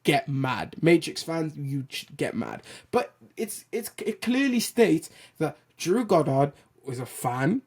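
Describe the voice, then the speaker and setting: A man with a loud voice hosting a film and TV show, giving an animated, opinionated rant with casual swearing. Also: a little high, takes it slowly, husky. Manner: animated, blunt, swears casually, restarts sentences. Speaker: host of a film and TV news show. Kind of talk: opinionated solo commentary rant.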